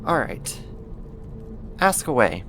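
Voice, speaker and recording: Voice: medium pitch, big pitch swings, creaky, fairly bright tone, soft background music, heavily acted. A performer playing a possessive yandere neighbor who kidnaps, sweet yet menacing, in an intimate, coaxing ASMR roleplay monologue. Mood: possessive, smug. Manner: coaxing, slow, deliberate, condescending endearments.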